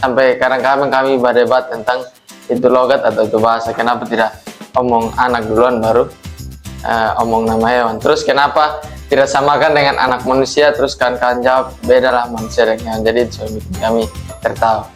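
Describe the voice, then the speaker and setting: A young male student from Sumba tells a story in an amused, chatty way. His voice sits at a middle pitch. Faint music plays behind him. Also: flat delivery, fairly quick. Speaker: student interviewee. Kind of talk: casual storytelling.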